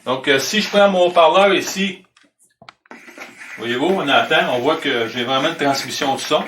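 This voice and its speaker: A high-pitched male instructor with a Quebec French accent walks through a technical demonstration in an informal, explanatory way. He restates key points as he goes. The sound is echoey, picked up at some distance from the microphone. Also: normal speed, a bit bright, slight background noise. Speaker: electronics hobby instructor. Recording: roomy echo, distant microphone.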